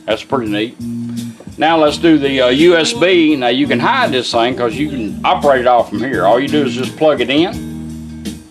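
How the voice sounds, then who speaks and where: A man's voice: medium pitch, normal speed, over music. A man giving a casual, informal hands-on product demonstration in a folksy way. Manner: folksy, informal, talks to the gadget.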